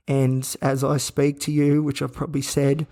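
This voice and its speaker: A man hosting a solo podcast from a hospital room, his voice croaky and worn out, talking flatly and wearily with rambling restarts. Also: mid-range voice, moderate pace. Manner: flat, weary, rambling with restarts. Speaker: podcast host. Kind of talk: candid solo podcast monologue. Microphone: own recording gear.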